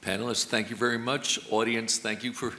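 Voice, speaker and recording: Moderator in a big echoing hall. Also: male voice, medium pitch, level tone, fairly quick, bright, forceful tone, slight background noise. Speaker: panel moderator. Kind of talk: moderating a panel. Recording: distant mic in a reverberant hall.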